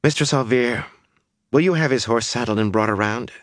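A man's voice: mid-range voice, normal speed, no room sound, clean studio sound, pitched above the performer's own voice, close to an everyday voice. A man voicing a fiery, commanding young woman in an audiobook, speaking firmly and urgently. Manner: firm, forceful, commanding. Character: fiery, urgent young woman scolding her stubborn companion.

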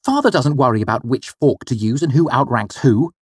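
A man's voice: mid-range voice, lively intonation, fairly quick, a little mellow, breathy edge, no echo, studio quality, pitched above the performer's own voice. A man voicing his younger self as a boy, protesting and grudging, in a raised voice.

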